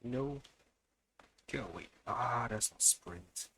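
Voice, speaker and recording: Bright-voiced man, slow-paced gaming commentary. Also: medium pitch, a little hiss or hum. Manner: muttering to himself while playing. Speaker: livestream gamer. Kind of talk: casual let's-play commentary.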